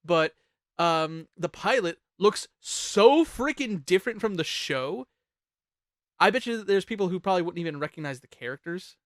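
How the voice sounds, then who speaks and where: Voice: mid-range voice, animated, moderate pace, no room sound, studio quality. A host chatting casually while ranking songs on a panel show, jokey, profane and talkative.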